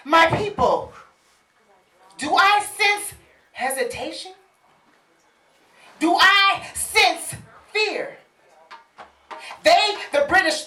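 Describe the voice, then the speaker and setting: Actress as proud warrior queen: high, very animated, commanding stage oratory with deliberate pauses. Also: exaggerated voice. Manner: declamatory, commanding, dramatic pauses. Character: proud, defiant African warrior queen rallying her people. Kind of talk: theatrical stage monologue. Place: live stage play at a market venue. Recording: echoey, distant live stage recording.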